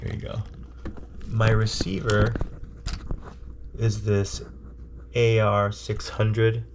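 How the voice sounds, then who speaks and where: Man with a head cold, nasal, low and gravelly; patient step-by-step tutorial delivery, checks in with "okay?". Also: flat delivery, drawn-out words, slight background noise. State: congested with a cold. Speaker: hobbyist demonstrating a radio setup. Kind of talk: casual how-to tutorial. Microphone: camera on a handheld tripod.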